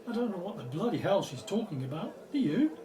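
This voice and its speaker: An actor as a cocky male archaeologist in a radio drama. His high voice is blunt and bouncy, a little away from the mic with ambience behind it. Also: big pitch swings, fast talker, mellow, distant mic. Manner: blunt, informal. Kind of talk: audio drama acting. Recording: off-mic, noisy ambient background.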